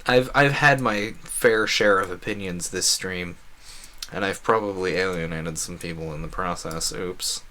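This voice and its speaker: A man's voice: sits low, measured pace, bright, forceful tone. A man giving casual, earnest and opinionated commentary on a gaming stream, talking in a rambling way. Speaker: game streamer.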